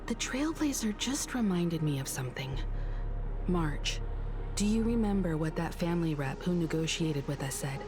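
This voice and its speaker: An actress playing a composed, shrewd, mature leader in a game, a woman with a poised, deliberate way of talking. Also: lowish voice, expressive, natural voice. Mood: composed, analytical. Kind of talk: game story dialogue. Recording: clear music bed behind.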